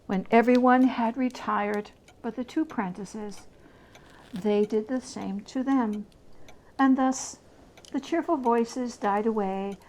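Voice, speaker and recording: A woman storyteller with a fairly high voice, reading very slowly and deliberately. She shapes each phrase with expressive, dramatic emphasis, as in a classic tale read aloud. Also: a little hiss or hum, rough recording.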